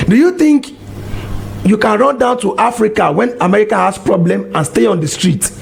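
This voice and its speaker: A man, preaching a monologue full of exhortations and rhetorical questions. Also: high-pitched. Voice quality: gravelly. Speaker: religious commentator.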